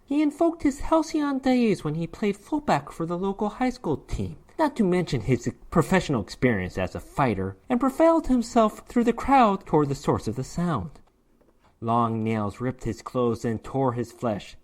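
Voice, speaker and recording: A man's voice: on the high side, sing-song, moderate pace. A man narrating a horror short story as a dramatic reading, with theatrical, emphatic delivery.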